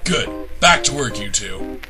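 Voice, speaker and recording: A man's voice: medium pitch, animated, measured pace, lightly acted. A man playing a bossy, sarcastic male news editor who swears when angry, with music behind. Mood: smug. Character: bossy smug male newsroom chief, quick to rage.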